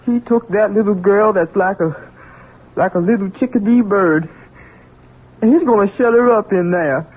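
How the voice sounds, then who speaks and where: An actor playing a simple-minded, childlike grown son in an American radio drama, speaking in a halting, pleading rustic way. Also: male voice, high-pitched, slightly rough. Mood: distressed, pleading. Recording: tinny band-limited old radio broadcast.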